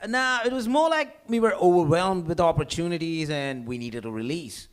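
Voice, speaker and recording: A smug, argumentative male schemer played by a man in a comedy table read. His high voice swings theatrically as he mocks and taunts. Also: expressive, normal speed, smooth, natural voice. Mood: defensive, impatient.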